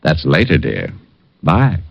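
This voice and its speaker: An actor playing a wisecracking private eye who narrates and quips in a dry, sardonic way, in 1950s radio drama style. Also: male voice, very deep voice, huge pitch swings, takes it slowly, vocal fry, noisy background, full character voice. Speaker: narrator and lead. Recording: dull lo-fi old radio broadcast with faint music.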